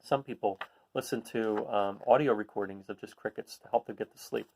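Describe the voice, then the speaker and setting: A man's voice: on the low side, brisk, a little mellow, low-quality recording. A man hosting a read-aloud, reading a children's picture book and adding casual, explanatory asides for young listeners.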